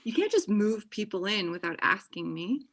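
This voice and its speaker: A woman acts out an overenthusiastic thirty-year-old woman in a comedy script. Her voice is bright and sharp at a middle pitch, full of chatty, possessive best-friend energy. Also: animated, normal speed. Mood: indignant. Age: young adult. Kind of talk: comedy table read. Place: indoor room on a video call. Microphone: video call.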